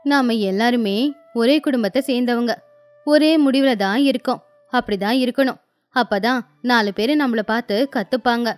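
A woman's voice: fairly high pitch, normal speed, faint music behind, lightly acted. A woman acting a shrewd young village wife in a moral story cartoon, coaxing and advising with confidence. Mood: earnest. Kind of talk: acted dialogue in a Tamil moral story cartoon.

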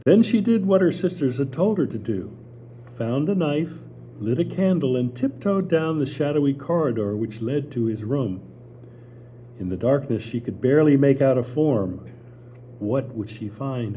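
Older male narrator at a stage reading: measured, warm, dark tone, animated pitch. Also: a little hiss or hum. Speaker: stage reader. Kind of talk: story narration at a play reading. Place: small theater stage with audience. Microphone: table mic.

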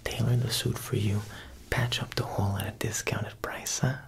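A man's voice: fairly low pitch, steady tone, moderate pace, gravelly, slightly rough. A young man whispering an ASMR roleplay as a joking, faintly menacing Italian mob boss tailor, with wiseguy turns of talk. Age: young adult. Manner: wiseguy talk, frequent "huh" tags. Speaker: ASMR performer playing a tailor.

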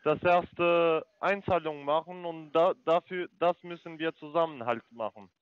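A man with a foreign accent, speaking German as a call center agent on the phone, halting with many fillers and broken grammar. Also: on the high side, phone-quality sound.

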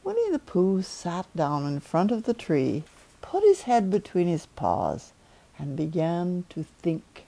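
An elderly woman reading a children's story aloud in an expressive, deliberate way, as a storyteller in her living room. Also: lowish voice, vocal fry, low-quality recording. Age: 70s or older.

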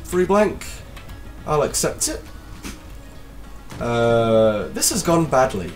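A man with a slight cold, casually commentating his gameplay as a let's play host, excitable and self-deprecating. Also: medium pitch, slow pace, distant mic, soft background music.

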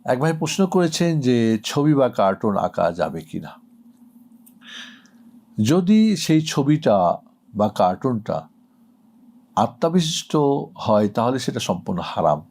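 A man's voice: mid-range voice, sing-song, a little slow, creaky, pauses often, darkish tone. A middle-aged man speaking as an Islamic cleric, giving a didactic, emphatic religious answer to a question. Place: indoor studio set.

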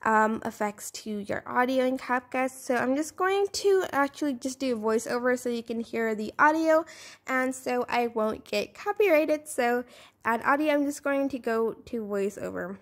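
A woman with a high voice hosts a software tutorial. She talks casually, explaining each step as a voice-over. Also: expressive, normal speed. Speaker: tutorial host.

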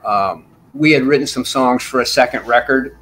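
An older man chatting on a video call from a small room at home, talking at length and drifting from story to story. His voice sits in an ordinary middle pitch, relaxed and unhurried. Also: a little hiss or hum. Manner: talkative, rambling storyteller. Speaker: bassist guest. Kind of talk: casual interview chat. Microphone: video call with desk mic.

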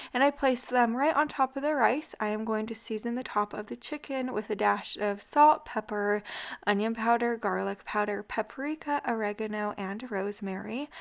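A young woman chattily narrating a home cooking video, walking through each step of the recipes. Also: a little high. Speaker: cooking channel host. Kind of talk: casual recipe voice-over narration.

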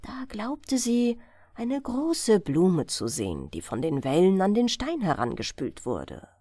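A woman reads a bedtime fairy tale. She takes her time, gently, with lively rises and falls in pitch, recorded close and dry. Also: slow, breathy edge, dry recording. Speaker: audiobook narrator.

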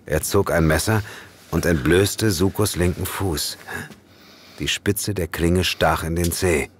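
A man's voice: sits low, slow, slight background noise. An actor playing a grim, urgent male investigator who also narrates a German audio drama. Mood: somber, suspenseful narrating. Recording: music bed behind the voice.

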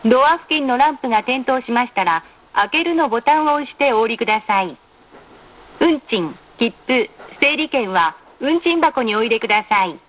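A woman reading scripted in-car train announcements in a formal, polite, measured way with careful enunciation. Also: on the high side, lively intonation, a bit bright. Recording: noisy train announcement recording.

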